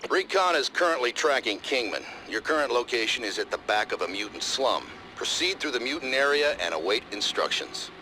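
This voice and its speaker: An actor playing a stern military commander in a video game, giving measured, authoritative mission briefings. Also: male voice, medium pitch, lively intonation, pushed and bright, a little hiss or hum, low-quality recording. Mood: instructive. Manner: measured, authoritative, matter-of-fact.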